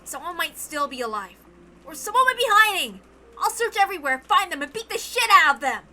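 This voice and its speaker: A woman voicing a hot-headed, anguished, foul-mouthed young man with a blunt, shouting delivery in a dramatic mystery reading. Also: very high voice, sing-song, fairly quick, slight background noise, exaggerated voice. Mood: furious.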